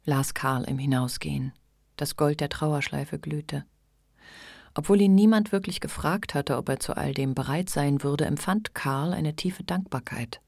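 A woman's voice: low-pitched, unhurried, vocal fry, a little mellow, dry recording, clean studio sound. A woman narrating a radio play in a literary, scripted style.

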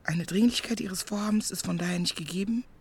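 An actress playing a brisk, caring, easily irritated young woman from the city, often hushed, in a naturalistic radio drama. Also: mid-range voice, breathy edge. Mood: reading aloud.

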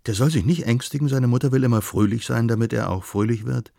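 A man's voice: mid-range voice, fast talker, creaky, mellow, dry recording, studio quality, natural voice. A man reading the part of an anxious, pleading young pregnant wife in a novel, urgent and coaxing.

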